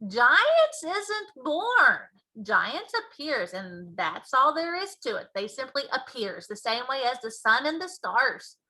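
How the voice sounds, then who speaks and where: A woman voicing an old, friendly but grand and indignant male giant in a children's storybook, with emphatic drawn-out words and mangled grammar. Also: high voice, big pitch swings, sharp tone, pitched above the performer's own voice, heavily acted, rough recording. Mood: explaining. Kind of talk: children's storybook read-aloud.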